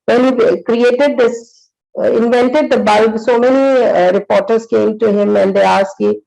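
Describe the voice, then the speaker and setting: A woman in her sixties with an Indian accent, giving a motivational talk as a psychologist. She tells stories earnestly, with many pauses and fillers. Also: a little high, steady tone, vocal fry, warm tone, rough recording.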